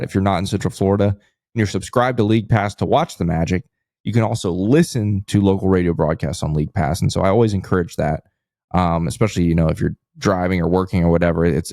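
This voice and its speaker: An American man co-hosts a casual sports podcast in a low voice with plenty of creak. His tone is on the mellow side, and the recording is dry and close. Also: dry recording.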